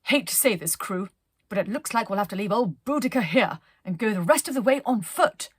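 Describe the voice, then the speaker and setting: A woman voicing a brisk, plucky female pilot in a children's storybook, with a hearty, bossy, jolly manner. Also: medium pitch, expressive, slightly rough, dry recording, studio quality. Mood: breezy.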